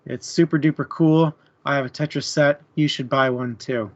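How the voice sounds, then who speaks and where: A man's voice: medium pitch, fairly even intonation, moderate pace, dull audio. A man co-hosting a casual book-chat livestream, talking informally to the viewers. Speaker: podcast co-host.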